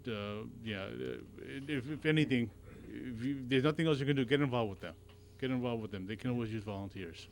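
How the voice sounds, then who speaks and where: A man as a radio show guest, talking in casual conversation, earnest and warm, stumbling over his words and restarting often. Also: mid-range voice, vocal fry. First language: not Arabic. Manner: halting, with many restarts and repeated words.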